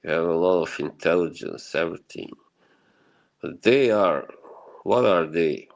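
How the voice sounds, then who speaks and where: Slow, gravelly man. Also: low-pitched, animated. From Bosnia. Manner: rambling, reassuring, chuckles often. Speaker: livestream host. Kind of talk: casual chat with live viewers.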